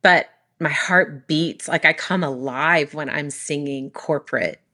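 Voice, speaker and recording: Bright-voiced woman telling a lively story. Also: low-pitched. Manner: animated, quick and full of restarts. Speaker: worship singer and recording artist. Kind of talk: interview storytelling.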